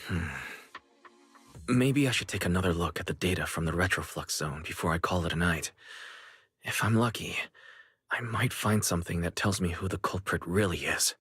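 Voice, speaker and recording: A man playing a thoughtful, considerate young man in a video game, with a measured, understated delivery. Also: low voice, a bit bright, slightly rough, dry recording, clean studio sound, faint music behind, heavily acted. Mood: pondering.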